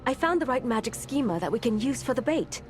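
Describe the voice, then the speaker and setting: A woman playing a composed, knowledgeable scholar-mage in a video game, measured and instructive. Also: on the high side, animated, lightly acted. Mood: businesslike. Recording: clear music behind the voice.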